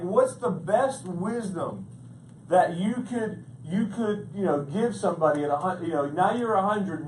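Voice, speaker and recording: Playful pastor in an echoey hall. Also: male voice, high-pitched. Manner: playful, animated storytelling with jokes. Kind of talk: humorous sermon. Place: large church hall. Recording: echoey hall, distant mic, background noise.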